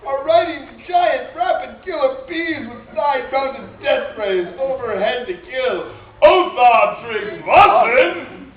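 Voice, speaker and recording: A man playing a bombastic, absurdly self-confident gentleman adventurer in a hammy comedy radio play. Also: very high-pitched. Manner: grandiose declaiming, hammy. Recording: muffled echoey live convention room, hissy.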